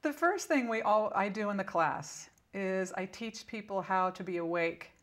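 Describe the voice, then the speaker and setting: A woman's voice: mid-range voice, lively intonation, moderate pace. Instructive woman explaining in an interview. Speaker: interview guest, spiritual teacher and author.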